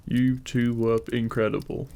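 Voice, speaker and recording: An actor playing a demon king, a deliberate and intimate lover, speaking straight to the listener in a romantic audio roleplay. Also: mid-range voice, level tone, gravelly, mellow. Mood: loving, gentle. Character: feared demon king, menacing in public, tender lover in private.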